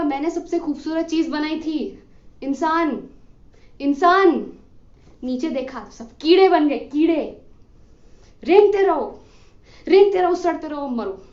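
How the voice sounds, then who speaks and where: A woman's voice: high-pitched, animated, a little slow, pauses often, darkish tone. A woman acting a bitter, condemned male revolutionary in a theatrical monologue, scolding an apathetic crowd with impassioned scorn. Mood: contemptuous, accusing. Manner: scornful, impassioned, declamatory with sarcastic commands and rhetorical questions. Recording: muffled lo-fi echoey room recording.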